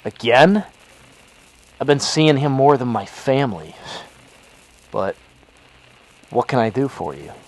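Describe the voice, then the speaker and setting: An actor playing a well-to-do young speakeasy proprietor in an old time radio drama. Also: male voice, medium pitch, big pitch swings, slow, pauses often, a little hiss or hum, poor audio. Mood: calm, concerned.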